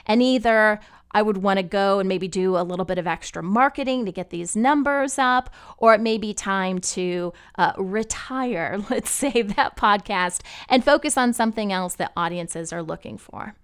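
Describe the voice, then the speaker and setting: A woman host giving a casual, explanatory walkthrough of podcast stats software, talking the listener through each step. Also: mid-range voice, normal speed, clean tone. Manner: casual, explanatory, uses "you know" and "gonna". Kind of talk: screen-share software tutorial.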